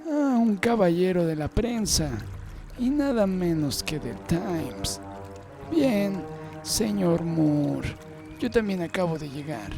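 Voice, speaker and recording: A high-pitched, bullying police sergeant played by a man from an audiobook novel. He is tall, Irish and mocking, talking down to everyone with menace. Music runs behind his words. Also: sing-song, slow, vocal fry, darkish tone, exaggerated voice. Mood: mock polite. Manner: condescending, bullying.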